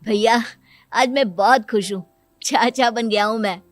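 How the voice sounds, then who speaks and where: A woman's voice: a little high, measured pace, dry recording, faint music behind, natural voice. A woman playing an affectionate, earnest younger brother in a story. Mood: happy. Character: affectionate younger brother, a new uncle longing for children.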